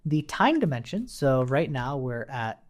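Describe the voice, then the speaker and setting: A man's voice: medium pitch, sing-song, measured pace. A man giving an informal live-coding tutorial, thinking aloud as an instructor. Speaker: programming instructor. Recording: noticeable noise, keyboard clicks and typing. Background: computer keyboard typing.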